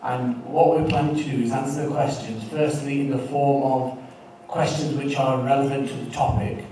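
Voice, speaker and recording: A man's voice: medium pitch, steady tone, moderate pace, dark tone, a little hiss or hum. A man hosting a question session, making polite announcements and reading questions to the audience. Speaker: event host reading questions. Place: large hall. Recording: far-off mic in an echoey hall.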